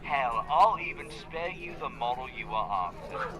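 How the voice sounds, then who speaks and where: A man's voice: medium pitch, lively intonation, a crowd in the background, close to an everyday voice, poor audio. A man playing a cocky, flirtatious magazine rival with a smug, swaggering manner in an audio roleplay. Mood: smug flirting. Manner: smug, swaggering, drawn-out delivery. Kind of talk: scripted audio roleplay acting.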